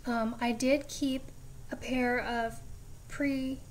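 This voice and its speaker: A woman vlogging a casual update, chatty and rambling with frequent ums, her voice raspy at times. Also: fairly high pitch, steady tone, slow, a little hiss or hum, rough recording.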